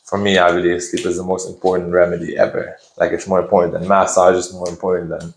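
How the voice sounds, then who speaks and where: Low-voiced man explaining in an echoey room. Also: fast talker. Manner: relaxed, explanatory, with frequent fillers and restarts. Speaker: physiotherapist guest. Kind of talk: casual interview answers.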